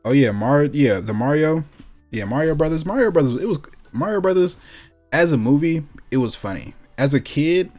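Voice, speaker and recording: A man's voice: on the high side, animated, normal speed, a little mellow, faint music behind. A man talking casually as a co-host, slangy and opinionated, repeating phrases for emphasis. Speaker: gaming show co-host. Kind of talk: casual opinionated chat about video game movies.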